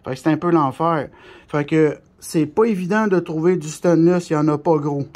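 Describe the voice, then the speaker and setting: High-pitched man with a Québécois accent. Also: normal speed, darkish tone. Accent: Québécois French. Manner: blunt, colloquial, mildly crude. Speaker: CB radio shop specialist presenting a product tutorial. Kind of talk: casual unscripted hands-on demonstration.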